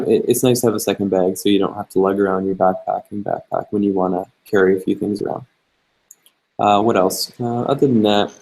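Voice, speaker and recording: Low gravelly young man chatting casually. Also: rough recording. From Canada. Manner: relaxed and chatty, many fillers, reads questions aloud. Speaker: travel vlogger. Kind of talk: casual livestream chat answering viewer questions.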